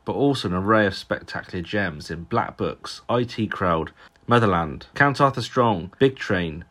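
A man's voice: on the low side, animated, normal speed, fairly bright tone. A man hosting a podcast, eager and admiring, asking long questions with hesitant repetitions. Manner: eager, fan-like, hesitant with repeated words. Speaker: podcast host. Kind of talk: casual interview.

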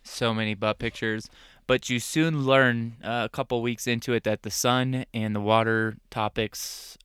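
A man co-hosting a casual podcast, joking and laughing easily. His voice is bright and crisp, with a dry, close sound. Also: mid-range voice, steady tone, measured pace, dry recording. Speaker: podcast co-host. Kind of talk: casual chat.

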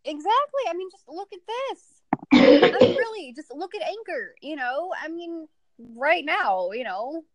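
High-voiced giggly woman in her twenties. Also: big pitch swings, no echo, poor audio. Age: 20s. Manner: agreeable, giggly, quick to affirm. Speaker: podcast guest. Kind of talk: casual chat.

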